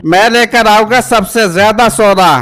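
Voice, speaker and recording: A man voicing a boastful, gullible village suitor in an animated moral story, emphatic and theatrical. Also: high-pitched, normal speed.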